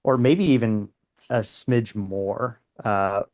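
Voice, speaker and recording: A man's voice: lowish voice, slow pace, a little mellow. Man giving thoughtful photo critique. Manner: thoughtful, constructive suggestions. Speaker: camera club member giving critique. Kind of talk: casual critique chat.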